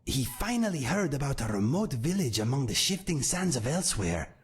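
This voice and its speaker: An actor voicing a calm, earnest male cat-folk swordsman in a game, speaking in a measured, formal way with a raspy voice. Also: medium pitch. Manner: measured, formal and warm-hearted. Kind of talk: game character dialogue.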